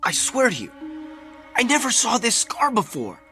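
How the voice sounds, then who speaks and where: An actor playing a distraught husband in a radio drama, agitated, insistent and pleading. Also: male voice, very high-pitched, huge pitch swings, moderate pace, pushed and bright, faint music behind, heavily acted. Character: distraught husband convinced his face is a stranger's.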